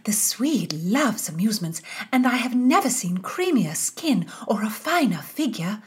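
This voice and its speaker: A woman's voice: fairly high pitch, very animated, measured pace, slightly rough, pitched above the performer's own voice, full character voice. A woman voicing an eager young lady-in-waiting who gossips in a whispery voice in an audiobook. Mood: excited.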